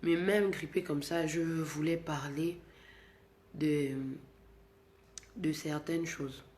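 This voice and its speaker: A woman with the flu talks to her fans, slowly and with repeated restarts. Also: low voice, fairly even intonation, echoey room. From Gabon. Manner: starts quiet and subdued, grows loud and insistent when explaining. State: sick with flu. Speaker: singer addressing her fans. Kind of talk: direct-to-camera talk to fans.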